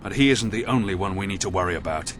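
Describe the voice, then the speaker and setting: Deep-voiced, grim military commander. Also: male voice, lively intonation, quick pace, background noise. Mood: grave, explaining. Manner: earnest, formal, authoritative. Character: grim, dutiful male military commander loyal to the crown. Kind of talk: video game cutscene acting.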